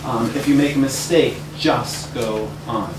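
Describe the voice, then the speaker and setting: A man leading a group, giving informal, directive instructions and repeating himself to be clear. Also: medium pitch, measured pace. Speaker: reading group leader, teacher. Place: indoor room with a group. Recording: echoey room, far mic, noisy hum.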